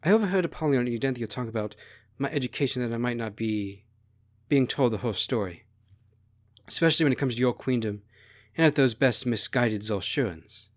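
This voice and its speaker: A man's voice: medium pitch, expressive, fairly quick, no room sound, clean studio sound, lightly acted. Man voicing an earnest teenage princess. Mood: curious. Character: idealistic, naive sixteen-year-old princess, heir to throne.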